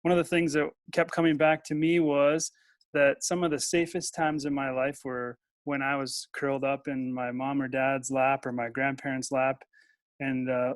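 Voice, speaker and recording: A man's voice: mid-range voice, dry recording. An American man hosting a casual interview. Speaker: interview host.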